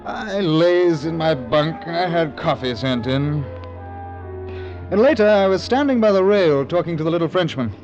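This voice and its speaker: A man's voice: a little high, expressive, normal speed, darkish tone. A man narrating in the first person as the lead in an old radio adventure drama, tense and storytelling. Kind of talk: 1940s radio acting. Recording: dull old radio broadcast with music.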